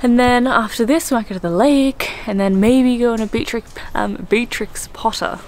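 A woman's voice: on the high side, normal speed, a little mellow. A young woman with an Australian accent, chatting casually and bubbly as a travel vlogger, filming herself outdoors by a lake on a handheld camera. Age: young adult. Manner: chatty and bubbly, with frequent "like" and laughs. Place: outdoors, in a rowboat on a lake and by a lakeshore. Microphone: handheld camera close to the face. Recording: outdoor wind and water noise.

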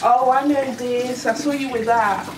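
A young woman and mother chatting to her camera at arm's length in her home kitchen, excitable and talkative. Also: on the high side, measured pace, reverberant, recorded from a distance, very noisy. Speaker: vlogger, mother. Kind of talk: casual home vlog. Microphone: handheld camera at arm's length.

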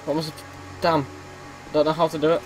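Hoarse man muttering through a game. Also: fairly high pitch, flat delivery, fairly quick, slight background noise, low-quality recording. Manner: chatty, mutters reactions while playing. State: slightly hoarse, losing his voice. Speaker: gameplay commentator. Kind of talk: casual let's-play commentary.

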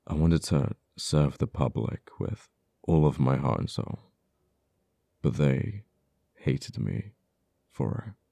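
Man acting very deep, gravelly uptight official. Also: very animated, mellow, no echo, clean studio sound, exaggerated voice. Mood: weary, bitter. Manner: halting, hesitant delivery with stammers. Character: uptight, wounded male government official, earnest, easily flustered. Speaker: voice actor. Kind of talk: ASMR audio roleplay.

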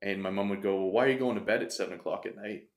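Low-voiced man telling a personal story. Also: racing. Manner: earnest, reflective, self-interrupting. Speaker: podcast guest, coach. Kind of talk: candid storytelling in conversation. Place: bright studio room, indoors. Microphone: desk mic on stand.

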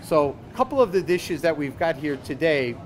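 Higher-voiced middle-aged man, halting explainer. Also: big pitch swings, slight background noise. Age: 50s. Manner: halting, repeats words as he explains. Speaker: chef, interview guest. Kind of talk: conversational explanation. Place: store counter indoors.

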